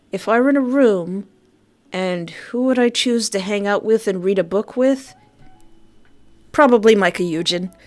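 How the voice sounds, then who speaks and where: A woman livestreamer chatting casually and effusively with her viewers, reacting aloud as she goes. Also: mid-range voice. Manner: effusive, informal, reacting aloud to chat messages. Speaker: livestream host and game developer. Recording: soft music behind the voice. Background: background music.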